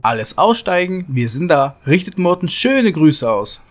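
A man's voice: on the high side, sing-song, unhurried. A man playing a blunt, crude uncle in an audio drama parody. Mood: gruffly casual. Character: blunt, coarse uncle giving lifts.

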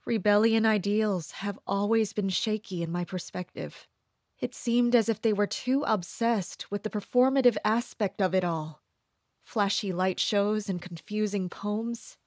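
A woman playing a guarded, distrustful commander of mercenaries in an audio drama, measured and introspective. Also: medium pitch, darkish tone, no room sound, studio quality, lightly acted. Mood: brooding. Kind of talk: audio drama acting with inner monologue.

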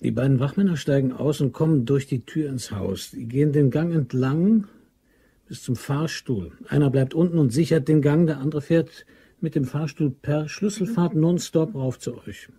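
An actor playing a shifty, smooth-talking man who plots a robbery, speaking casually and tersely in a German crime radio play. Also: fairly high pitch, normal speed, dark tone. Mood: matter-of-fact.

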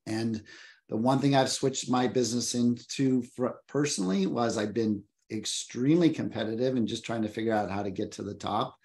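Man in his fifties, earnest panelist, video call from an office, mid pitch. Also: normal speed, gravelly, darkish tone. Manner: earnest, reflective, repeats words while thinking. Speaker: luxury real estate agent, panelist. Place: home office. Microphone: video call webcam.

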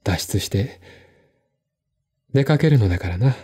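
A man acting a husky, melancholy, earnest gentleman. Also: on the low side, lively intonation, a little slow, heavily acted. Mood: troubled pleading. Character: melancholy, earnest man pleading over a lovesick woman. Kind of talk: one-man radio drama reading.

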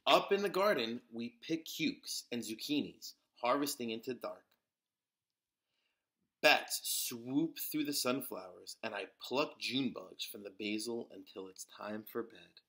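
A man reading a children's picture book aloud as a storyteller, with deliberate, dramatic emphasis. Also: mid-range voice, expressive, fairly bright tone. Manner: deliberate, dramatic emphasis with occasional whispers and exclamations.

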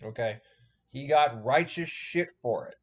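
A man reacts casually to a video, swearing freely and rambling in a blunt, joking way. His pitch is middling and the recording sounds slightly muffled. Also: lively intonation, a little slow. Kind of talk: casual, profane reaction commentary.

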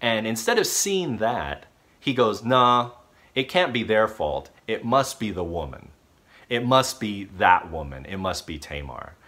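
A man in his thirties preaching a sermon from a small living room at home, talking straight to a close camera and telling the story with lively gestures. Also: fairly low pitch. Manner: animated storytelling with expressive gestures. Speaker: pastor.

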